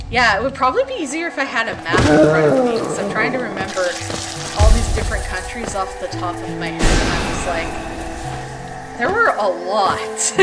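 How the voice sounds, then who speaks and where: Chatty woman streamer over loud music. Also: on the high side. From Canada (Alberta). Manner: rambling, many fillers like "like" and "um". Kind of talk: casual chat with stream viewers. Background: loud background music.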